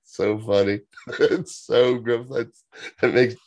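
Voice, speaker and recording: A man's voice: mid-range voice, steady tone, takes it slowly, a little mellow, dry recording. A man co-hosting a podcast, chatting casually and laughing often as he reads aloud. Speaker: podcast co-host. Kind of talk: casual chat.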